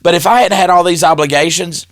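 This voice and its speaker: A man's voice: sits high, quick pace, no room sound. A man, telling stories in casual chat and acting out the dialogue as he goes. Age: 50s. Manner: animated storyteller who acts out quoted dialogue.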